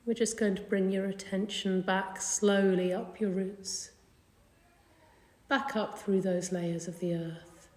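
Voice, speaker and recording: A woman leading a guided meditation in a gentle, soothing, unhurried manner. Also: medium pitch, steady tone. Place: indoor carpeted room. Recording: echoey room with long reverb.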